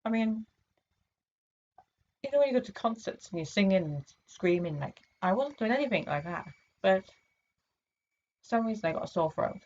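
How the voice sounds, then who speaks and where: A woman from England chatting casually to camera in a vlog, her throat a little sore and hoarse, rambling with lots of ums and restarts. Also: medium pitch, lively intonation, normal speed, pauses often, a little mellow, dull audio. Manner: rambling, many fillers and restarts. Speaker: vlogger.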